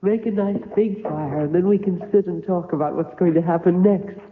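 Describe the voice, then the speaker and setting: A woman's voice: lowish voice, normal speed, narrow, tinny audio, a little hiss or hum, lightly acted. An actress playing a coy, vain, teasing female phantom muse in an old-time radio drama, musing and needling with questions. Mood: eager, coy. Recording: reverberant, thin old radio broadcast.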